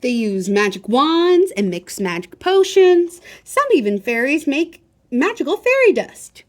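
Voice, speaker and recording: Female children's storytime reader: high-pitched, unhurried, expressive, addressing kids directly. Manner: animated, expressive, talks directly to young listeners. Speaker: library storytime host and reader. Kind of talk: children's picture-book read-aloud with chatty asides to listeners.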